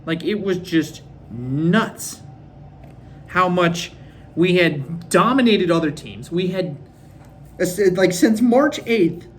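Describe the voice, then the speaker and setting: A young man from Minnesota hosting a casual fan recap, rambling and excitable, his voice a bit worn from screaming. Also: high-pitched, a little hiss or hum. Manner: rambling, excitable, lots of fillers. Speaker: fan podcast host. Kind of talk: casual solo recap of a hockey game.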